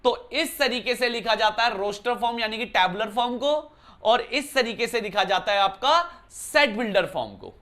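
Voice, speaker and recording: A man teaching maths in a bright, clear voice, talking to his students casually. He explains step by step and keeps asking them whether they follow. He is from Uttarakhand and records indoors. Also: very high voice, expressive, moderate pace. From Uttarakhand, India. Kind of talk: classroom lesson explanation. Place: indoor room.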